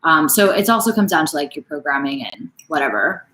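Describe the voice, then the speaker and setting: A woman's voice: low-pitched, lively intonation, fairly quick, sharp tone, roomy sound, a little hiss or hum, rough recording. A woman in her late twenties, a fitness coach casually answering viewer questions in a live stream, full of "like" and "um" fillers. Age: late 20s. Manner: casual, chatty, frequent "like" and "um" fillers.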